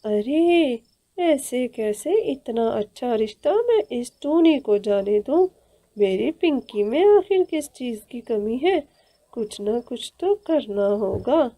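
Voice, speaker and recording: A woman's voice: sits high, dark tone. A woman voicing a greedy, jealous stepmother bird in a cartoon, haughty and snappish in manner. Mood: scheming. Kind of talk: Hindi children's cartoon dialogue.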